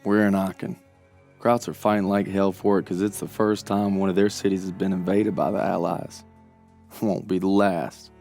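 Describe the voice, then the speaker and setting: A man's voice: sits low, little pitch movement, moderate pace, vocal fry, darkish tone, soft background music. An actor playing a dry, matter-of-fact American infantryman, narrating in a war video game. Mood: grim.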